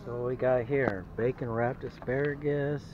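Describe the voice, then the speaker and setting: A man's voice: mid-range voice, fairly even intonation, moderate pace. Casual male vlogger, upbeat and chatty, mid-range voice, recorded outdoors on a muffled action camera with faint ambient noise. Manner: chatty, upbeat, keeps addressing viewers as "guys". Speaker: vlogger and father filming his family. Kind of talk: casual vlog narration to camera. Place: outdoor campground and woods. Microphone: handheld action camera.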